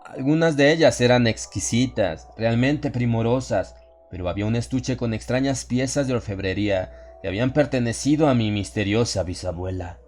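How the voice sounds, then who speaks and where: A male audiobook narrator with a mid-pitched voice tells a horror story in the first person. His delivery is solemn and measured, with dramatic emphasis. Music plays faintly behind him. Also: darkish tone.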